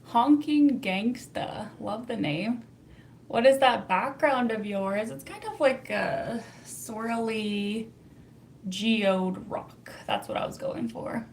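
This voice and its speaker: A woman's voice: fairly high pitch, slow pace, a little hiss or hum. A young Canadian woman with a Canadian accent, hosting a casual livestream, chatty and laughing often as she answers viewer comments. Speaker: livestream host reading chat.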